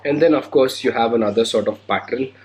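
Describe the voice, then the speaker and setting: A man's voice: mid-range voice, brisk, darkish tone, a little hiss or hum. A young man with an Indian English accent, recovering from a cold, talking casually through an unboxing vlog with lots of hesitant fillers. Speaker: motovlogger. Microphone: handheld recorder or camera mic.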